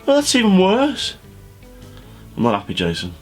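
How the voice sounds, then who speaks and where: A man co-hosts with casual, incredulous banter, his mid-pitched voice swinging up and down a lot. Faint music plays behind him. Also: wildly swooping pitch, normal speed. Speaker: show co-host.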